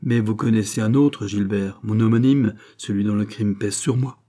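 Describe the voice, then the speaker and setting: A man's voice: fairly low pitch, normal speed, dark tone, natural voice. A man reading a fervent, commanding doctor. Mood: pleading. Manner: commanding, rising to fervent declamation. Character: intense male doctor imposing his will.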